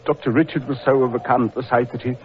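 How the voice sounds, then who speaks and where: An actor playing a distraught, horror-struck village gentleman in halting, melodramatic radio style. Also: mid-range voice, fairly even intonation, lightly acted. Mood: horrified. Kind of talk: 1940s radio melodrama acting. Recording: muffled old radio, faint hiss.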